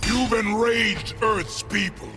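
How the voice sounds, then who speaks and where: An actor playing a raging, vengeful alien warlord in a video game, snarling with menace. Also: male voice, high-pitched, slow pace, loud music behind the voice. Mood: vengeful rage.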